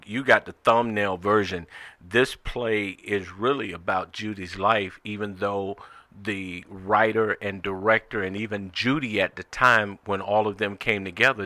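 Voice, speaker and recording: A man hosting a talk show in an informal, conversational way, often halting and repeating his words. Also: fairly low pitch, fairly even intonation, pushed and bright.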